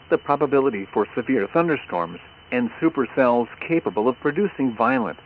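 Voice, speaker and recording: Synthetic male weather radio announcer, tinny. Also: mid-range voice. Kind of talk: automated weather broadcast. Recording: tinny radio broadcast with faint hiss.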